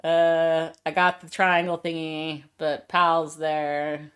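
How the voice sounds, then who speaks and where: Low-voiced woman recapping a film. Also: takes it slowly, clean tone. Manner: retells scenes with lively quoted lines. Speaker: podcast co-host. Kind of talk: casual chat recapping a film.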